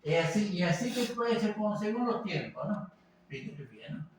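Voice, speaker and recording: A man from Venezuela gives an informal class in a rambling, halting way, full of fillers and tag questions. His voice is slightly high for a man. It is recorded from a distance in a very echoey room with faint background noise. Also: expressive. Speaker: teacher. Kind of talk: informal lecture.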